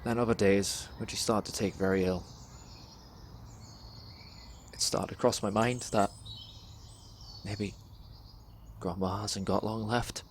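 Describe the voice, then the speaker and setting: A young man performs a dramatic spoken word monologue in a measured, deliberate storytelling way, pausing between phrases. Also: on the low side, steady tone, slight background noise. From England. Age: young adult.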